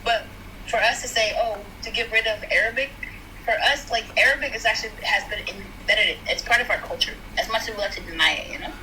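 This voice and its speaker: Brief: young woman from South Sudan, bright and forceful voice, chatty debate style, faint hiss behind. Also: medium pitch, fast talker, rough recording. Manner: animated, talks through points with many "like" and "right?". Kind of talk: casual chat, debate.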